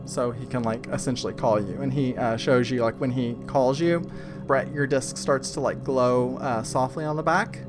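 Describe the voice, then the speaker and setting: Guy running a tabletop rpg, halting casual narration, music playing behind him. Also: mid-range voice, fairly even intonation. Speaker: game master. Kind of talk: improvised tabletop game narration. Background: clear background music.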